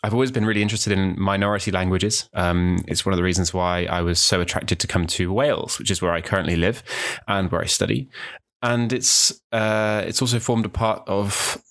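A young man from New Zealand talks through his podcast introduction, meandering and thinking aloud with plenty of ums and restarts. His voice sits very low in pitch. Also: a little fast, gravelly. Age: young adult. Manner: relaxed and rambling, many ums and restarts. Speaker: podcast host, language student. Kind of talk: casual solo podcast monologue.

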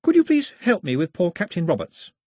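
A man's voice: sits high, huge pitch swings, brisk, mellow, dry recording, clean studio sound, voice pushed up, exaggerated voice. A man voicing an anxious, chatty shipwrecked young girl who rambles out apologies.

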